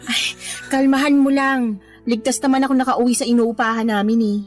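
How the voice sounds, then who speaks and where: A woman's voice: fairly high pitch, fairly even intonation, brisk, faint music behind, close to an everyday voice. An actress playing an emotional young girlfriend in a radio drama, defensive and pleading by turns. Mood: confiding.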